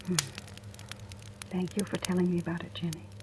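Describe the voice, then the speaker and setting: An actress playing a timid, devoted young woman in a 1940s style radio drama, speaking softly and hesitantly. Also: on the low side, completely flat delivery, a little fast, noisy background, close to an everyday voice. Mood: tentative. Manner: hesitant, soft-spoken. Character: timid but devoted young woman, new household employee. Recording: old radio recording, music behind.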